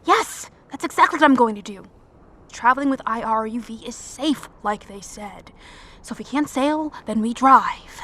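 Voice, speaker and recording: Tough, higher-voiced woman survivor. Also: animated, moderate pace, pushed and bright. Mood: exasperated, resolute. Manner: terse, firm, practical. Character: tough, resolute combat-trained woman survivor leading companions. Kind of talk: audio drama dialogue. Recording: faint background ambience.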